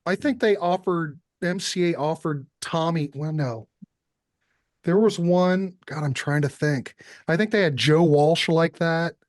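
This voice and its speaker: A man's voice: fairly high pitch, a little slow, no room sound, clean studio sound. A man hosting a casual music chat, rambling a little and thinking aloud. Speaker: interview host.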